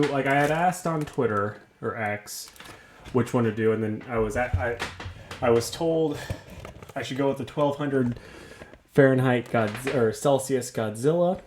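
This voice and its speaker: Man, gravelly voice, loose and chatty, mid-pitched, some background noise. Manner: easygoing, rambling, self-mocking. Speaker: vlogger unboxing toys. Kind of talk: casual unboxing chat.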